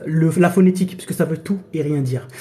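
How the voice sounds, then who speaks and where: A man with a cold and a hoarse voice, a coach chatting casually on a phone live stream and tossing in "d'accord" and "frère". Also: on the high side, fast talker, dark tone. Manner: chatty, repeats himself, many "d'accord" and "frère". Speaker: fitness and nutrition coach. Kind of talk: casual TikTok live chat. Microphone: phone during a live stream.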